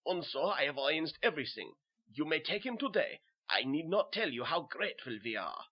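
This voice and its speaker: Guy as a kindly german doctor, formal, a bit husky, animated, muffled lo-fi audio. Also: fairly high pitch, brisk. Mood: reassuring. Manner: formal, explanatory.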